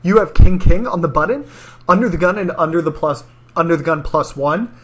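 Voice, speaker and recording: A man's voice: a little high, brisk, husky. A man in a played video walking through a poker hand, informal and thinking aloud through the numbers.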